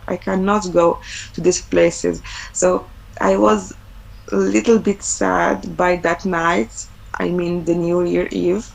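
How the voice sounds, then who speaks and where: Algerian woman, low voice with fry. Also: low-quality recording. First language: Arabic. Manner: hesitant, many fillers and repeated words. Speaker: webinar guest speaker. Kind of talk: personal talk on studying abroad.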